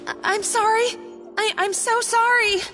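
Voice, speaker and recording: A woman's voice: very high voice, steady tone, measured pace. A woman voicing a timid young junior chef in a video game, tearful, stammering and pleading apologetically. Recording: music behind the voice.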